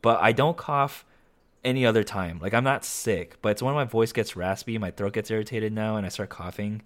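A young man hosting a solo podcast, talking casually with lots of fillers and self-corrections, his voice slightly raspy. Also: fairly low pitch, normal speed. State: slightly raspy voice with occasional coughing. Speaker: podcast host.

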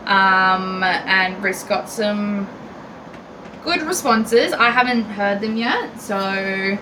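Woman co-host, quick disgusted reactions, distant noisy recording. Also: medium pitch, animated. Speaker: podcast co-host. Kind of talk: casual chat.

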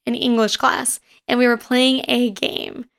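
A woman in her twenties with a raspy voice, hosting a casual podcast and rambling quickly through personal stories. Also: a little high, level tone, fairly bright tone. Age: 20s. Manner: fast-talking and rambling. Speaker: podcast host. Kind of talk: casual chat. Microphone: home recording setup.

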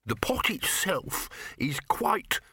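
Man doing a quavering, fussy, higher-pitched wizard. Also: sing-song, slow pace, bright, forceful tone, husky, dry recording, studio quality, heavily acted. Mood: fussy, expectant. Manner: quavering, tentative. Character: nervous fussy older male bursar wizard.

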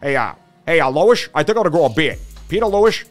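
A man voicing a goofy, dim-witted cartoon dad in a loud, slurry impression. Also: fairly high pitch, very animated, fast talker, faint music behind.

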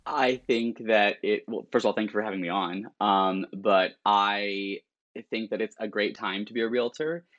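Low-voiced man, dry close sound. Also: fairly even intonation, moderate pace, a bit bright, no echo, highs cut off. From born in Lebanon, grew up partly in rural Alberta, Canada. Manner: wry, self-deprecating, chatty. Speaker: realtor, interview guest. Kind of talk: casual interview chat.

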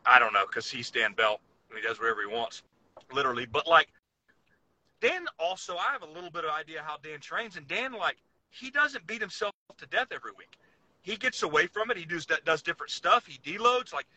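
A man's voice: fairly high pitch, expressive, a little fast, bright, forceful tone, rough recording. A man co-hosting a casual sports podcast, talking earnestly and emphatically, piling up intensifiers. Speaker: podcast co-host.

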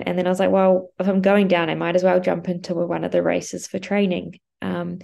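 A young Australian woman co-hosts a chatty podcast. Her voice sits low with a gravelly fry, and a cold leaves her sounding stuffed up. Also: a little mellow. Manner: chatty, with fillers and laughs. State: blocked-up nose from a cold. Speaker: podcast co-host. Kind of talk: casual podcast chat.

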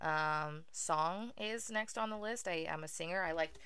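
Young woman podcast host, smooth but gravelly low voice, self-deprecating humor. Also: a little slow, bright, forceful tone. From Venezuela. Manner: wry, self-deprecating, with filler ums. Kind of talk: casual solo podcast monologue.

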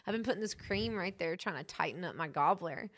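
A woman in her thirties chatting casually with an old friend. She tells stories in an animated way, laughs often and acts out what other people said. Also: on the low side, a little fast. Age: 30s. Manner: animated storytelling, frequent laughter. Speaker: co-host. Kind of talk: casual chat between friends.

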